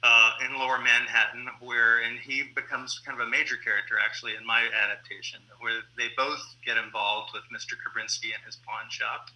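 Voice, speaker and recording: A man talking as a playwright in an interview, explaining his work haltingly with pauses mid-sentence. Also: mid-range voice, flat delivery, pushed and bright, poor audio. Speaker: playwright who adapts stage plays.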